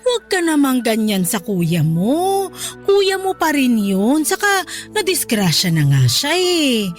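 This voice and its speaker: A woman playing a placating mother of grown children in a radio drama, gently pleading with a Filipino accent. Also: sits high, sing-song, takes it slowly, soft background music, full character voice.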